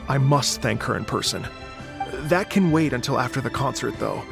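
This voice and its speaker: A man's voice: mid-range voice, expressive, normal speed, creaky, music in the background. An actor playing an earnest, fretful male musician. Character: earnest male musician, nervous before a concert.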